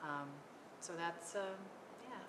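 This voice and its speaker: Low-voiced middle-aged woman in an echoey gallery. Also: expressive, slow. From California. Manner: hesitant, many ums. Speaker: panel artist. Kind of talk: unscripted panel talk. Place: art gallery. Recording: echoey gallery with long reverb and noise.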